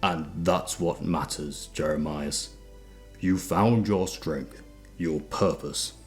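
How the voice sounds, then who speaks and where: An actor playing an old, kindly, knowledgeable monster expert in an audio drama, speaking in a measured, formal way. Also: male voice, extremely low pitch, faint music behind. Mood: sympathetic. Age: older. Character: old pipe-smoking male vampire expert, measured, kindly. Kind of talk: audio drama character acting.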